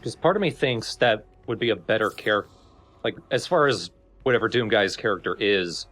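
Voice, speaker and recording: A man's voice: fairly low pitch, lively intonation, normal speed, fairly bright tone. A man chatting casually as a co-commentator on a video game playthrough. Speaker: let's play co-commentator. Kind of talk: casual discussion over gameplay.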